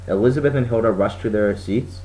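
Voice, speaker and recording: A man's voice: fairly low pitch, fairly quick, a little mellow, poor audio. A man reading a children's story aloud as a scripted narration. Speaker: storybook reader.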